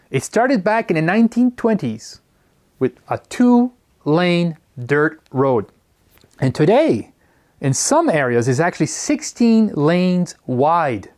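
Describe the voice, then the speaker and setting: Lively man lecturing. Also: a little high. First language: French. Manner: lively, stretches and stresses key words. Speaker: teacher trainer.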